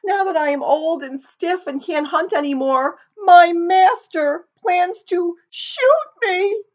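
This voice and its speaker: A woman's voice: very high-pitched, takes it slowly, muffled recording, voice pushed up. A woman voicing a worn-out, frightened old male hunting dog in a storybook, gasping as he speaks. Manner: gasping, anxious.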